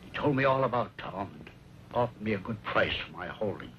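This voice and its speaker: A man's voice: lowish voice, lively intonation, a little fast, natural voice. A man acting as a proud, stubborn older rancher in an old Western drama, speaking earnestly and emphatically. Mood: resigned. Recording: dull, noisy old radio recording.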